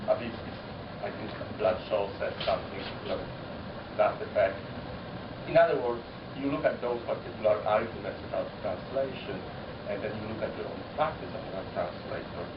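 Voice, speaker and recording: A man whose first language is Polish, speaking as a translator at a panel discussion, thoughtful and halting, often rephrasing as he goes. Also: lowish voice. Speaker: poet-translator. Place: university common room. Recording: echoey room, distant mic, muffled, noisy.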